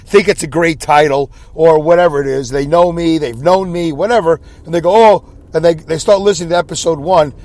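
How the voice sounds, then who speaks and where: A man's voice: on the high side, fairly even intonation, fairly quick, few pauses, a little mellow, no room sound. Scratchy-voiced man rambling in a car. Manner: self-deprecating, rambling, frequent throat clearing. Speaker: podcast host. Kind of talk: solo rambling podcast monologue. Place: inside a car.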